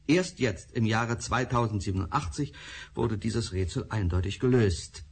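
Radio play: measured lecturing scientist, formal delivery. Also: male voice, mid-range voice, slightly rough. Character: formal male scientist presenting a discovery.

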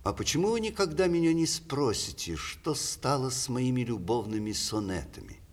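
An ageing, witty playwright in a classic radio play. His delivery is declamatory and ironic, swinging widely in pitch as he pronounces on the world with strong opinions. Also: big pitch swings, moderate pace. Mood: wry, reflective. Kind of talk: 1960s Russian theatre radio play acting.

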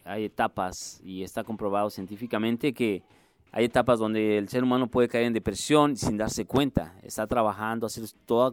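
A man from Mexico City with a Mexico City Spanish accent, a musician and music instructor answering radio interview questions in an earnest, halting way, often circling back to rephrase. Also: medium pitch, smooth, dry recording.

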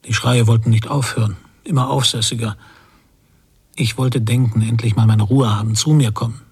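A man's voice: mid-range voice, fairly even intonation, moderate pace, a little mellow, slightly rough. An actor playing a weary, irritable emigrant father, brooding bitterly in a literary radio drama monologue. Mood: irritated, brooding. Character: weary, irritable emigrant father, self-pitying, close to snapping.

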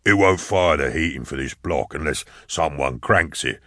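A man voicing a fat, gruff man in his sixties, an old soldier and building caretaker in an audiobook. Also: extremely low pitch, moderate pace, fairly bright tone, no echo, studio quality, voice pushed down. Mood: chatty, respectful. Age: 60s. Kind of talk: audiobook character dialogue.

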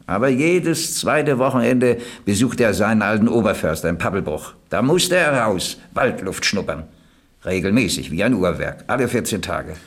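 An actor playing a plain-spoken boiler stoker who reminisces in a folksy, reflective way in a radio crime drama. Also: male voice, on the low side. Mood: reminiscing. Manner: folksy, ruminative storytelling.

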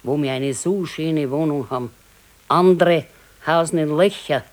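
An actress playing a practical, contented older wife in a naturalistic radio play, speaking matter-of-factly with a Bavarian accent. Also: female voice, low-pitched, unhurried, a little mellow. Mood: resigned contentment. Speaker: radio drama actor. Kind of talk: naturalistic 1970s radio play dialogue in dialect.

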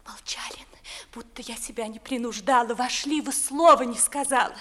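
A woman's voice: fairly high pitch, normal speed, a bit bright, lightly acted. A woman playing a willful young noblewoman in love, with emotional, articulate stage diction in a 1950s radio play. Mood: passionate, defiant. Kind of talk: 1950s theatrical radio drama in verse.

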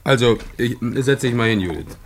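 An actor playing a grumbling middle-aged pub landlord and father in a German radio drama, gruff and unhurried, on a noisy old recording. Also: mid-range voice, a crowd in the background, close to an everyday voice.

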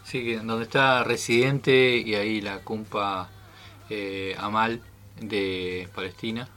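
A man's voice: lowish voice, fairly even intonation, slow pace, pushed and bright. A man co-hosting a radio talk show, explaining things informally. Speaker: radio host.

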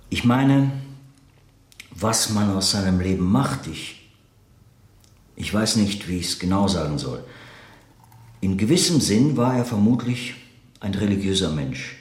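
Earnest reflective guy in a radio play, gropes for words, really lively intonation, roomy sound. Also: low voice, full character voice. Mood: earnest, searching. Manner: searching, hesitant, earnest, pausing to reformulate. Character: reflective man recalling an enigmatic late writer friend. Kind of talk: naturalistic German radio play acting, interview-like monologue.

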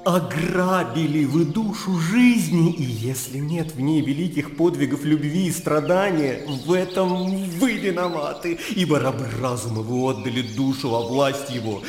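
A man's voice: on the high side, moderate pace, hardly any pauses, a little mellow, soft background music, close to an everyday voice. An actor playing an impassioned, idealistic young man in a radio drama, speaking in fervent, agitated rhetoric. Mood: anguished, fervent. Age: young adult. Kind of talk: radio drama acting, literary dialogue. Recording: echoey reverberant hall with background noise.

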